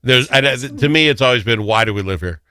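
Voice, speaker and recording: A man's voice: mid-range voice, lively intonation, brisk, sharp tone, clear, resonant tone, dry recording, clean studio sound. A man hosting a radio show, chatting casually and cracking jokes at his guest. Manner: joking, teasing.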